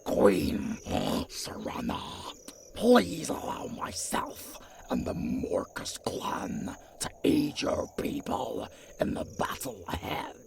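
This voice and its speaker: A performer voicing a humble goblin lord in a fantasy audio drama, in a breathy whisper. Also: mid-range voice, wildly swooping pitch, slow pace, background noise, full character voice. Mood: humble, respectful.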